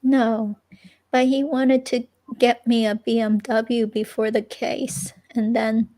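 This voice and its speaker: A woman reading the part of an evasive, unsure ex-girlfriend in a police interview, with a creaky, hesitant, rambling delivery. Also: fairly high pitch, flat delivery, slow pace, dark tone, low-quality recording. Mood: defensive, casual. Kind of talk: dramatic reading of an interview transcript, conversational.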